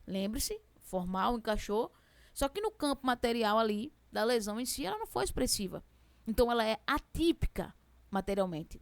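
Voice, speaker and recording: Fast-talking Brazilian woman law teacher. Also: on the high side, no room sound. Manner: chatty, asks herself questions; fast-talking with a strong regional accent. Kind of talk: exam prep lesson.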